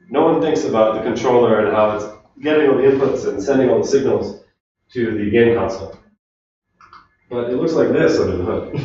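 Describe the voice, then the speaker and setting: A man from Finland giving a relaxed technical talk to a meetup audience, chatting with the crowd. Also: medium pitch, a little hiss or hum. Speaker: conference speaker. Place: large echoey meetup room. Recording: distant, roomy, dull room recording.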